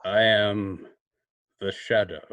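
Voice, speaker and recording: An actor playing a sly, menacing invisible crime fighter in a radio drama, speaking slowly and formally with a mocking edge. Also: male voice, lowish voice, fairly even intonation, no echo, phone-quality sound. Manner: slow, deliberate, mocking, formal. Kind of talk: old-time radio drama acting.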